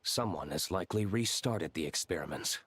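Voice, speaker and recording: A worldly-wise advisor in a video game. His voice is on the low side, calm and serious, weighing each point thoughtfully. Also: breathy edge, no room sound, full character voice. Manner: measured, thoughtful.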